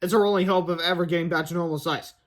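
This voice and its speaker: Man putting on an excitable, high-pitched little schoolboy. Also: rapid-fire, lightly acted. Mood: curious, hopeful. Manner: urgent, exclamatory. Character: excitable tiny schoolboy, bossy, hopeful, easily alarmed.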